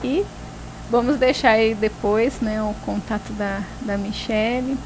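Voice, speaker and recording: A woman's voice: fairly high pitch, steady tone, background noise. A woman hosting a faith talk show, speaking reflectively and tagging phrases with "né". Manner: reflective, filling pauses with "né". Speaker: host.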